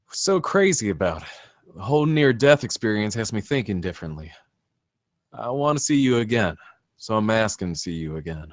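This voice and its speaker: A man's voice: on the low side, sing-song, a little fast, no room sound, clean studio sound. A man acting a shaken, guarded survivor in a film script read, speaking tersely and hesitantly. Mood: earnest, insistent. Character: Damien, shaken, guarded male survivor of an attack.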